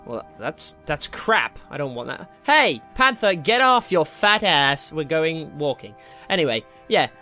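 A man's voice: a little high, big pitch swings, a little slow, pushed and bright, soft background music. A young British man giving casual, rambling, jokey gameplay commentary, easily distracted as he goes. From Britain. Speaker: gaming video host.

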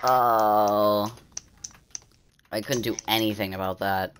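A teenage boy streaming a game, with a squeaky voice, chatting and bickering excitably with friends. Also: slow, slight background noise. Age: teens. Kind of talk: casual gaming chat with friends.

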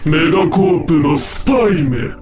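A man's voice: a little high, moderate pace, over music, lightly acted. A man voicing a suspicious, bossy masked thief, the villain of a Japanese video game dub. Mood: menacing.

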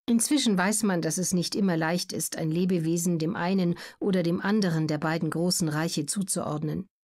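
A woman reading scripted educational narration in a measured, neutral, carefully articulated way. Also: on the low side, darkish tone. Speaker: audiobook narrator.

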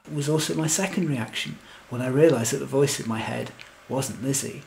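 Man playing a gravelly, wry, lovelorn storyteller. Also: mid-range voice, a little fast, heavy background noise, lightly acted. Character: lovelorn, anxious, wry man narrating his own misadventures. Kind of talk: scripted comic first-person narration and verse.

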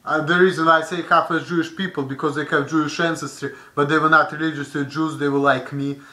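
A young man with a Russian accent rants into his webcam from a small bedroom, heated and swearing. His voice is bright and piercing, pitched a little high for a man. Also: level tone, brisk. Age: young adult. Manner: heated, profane, gesturing a lot. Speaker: vlogger. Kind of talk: angry webcam rant. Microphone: webcam built-in mic.

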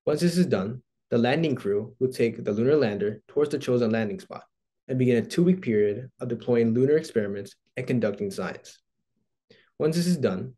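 A man's voice: mid-range voice, dark tone. A teenage boy from Texas giving a scripted school presentation over a video call, reading steadily from his notes. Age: teens. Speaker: student presenter.

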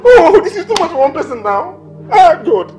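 A man's voice: very high voice, sing-song, normal speed, darkish tone, roomy sound, soft background music. A man with a Nigerian accent playing a desperate young man in debt who pleads and stammers. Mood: distressed. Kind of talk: Nollywood drama acting.

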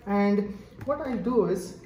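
A woman with an Indian accent giving a casual hands-on product demonstration in a halting, explanatory manner. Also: medium pitch, lively intonation, dark tone, slight background noise. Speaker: product reviewer. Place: indoors, tiled-floor room. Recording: echoey hard-floored room.

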